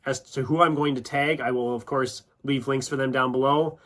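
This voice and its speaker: A man talks casually to his viewers as a channel host. His voice sits at an average pitch. He speaks informally, with self-deprecating asides. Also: fairly even intonation, moderate pace, fairly bright tone. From United States, Iowa. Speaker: YouTube book channel host. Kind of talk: casual chatty vlog, answering questions.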